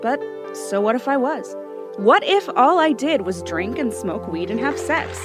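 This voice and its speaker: A woman's voice: fairly high pitch, lively intonation, unhurried. An actress playing a rebellious, outspoken teenage celebrity girl, defiant and cheeky, in an audio drama. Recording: loud music and crowd behind.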